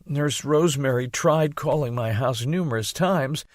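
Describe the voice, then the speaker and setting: A man reading an audiobook as narrator, telling a first-person story in an expressive, theatrical way on a close mic. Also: mid-range voice, measured pace, no echo.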